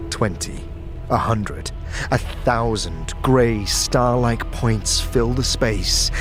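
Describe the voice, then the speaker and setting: Guy doing dramatic fantasy narration, measured and slow, expressive, music behind him. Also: medium pitch. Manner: measured, theatrical. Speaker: narrator and game master.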